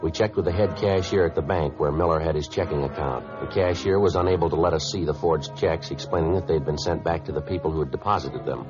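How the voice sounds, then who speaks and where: Deadpan radio-drama detective, very low, brisk and clipped, polite but businesslike, vintage recording with music behind. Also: male voice, highs cut off. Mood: deadpan, narrating. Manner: deadpan, matter-of-fact, clipped. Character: terse, businesslike, polite male police detective. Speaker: police detective and narrator. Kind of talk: 1950s radio police drama, clipped narration.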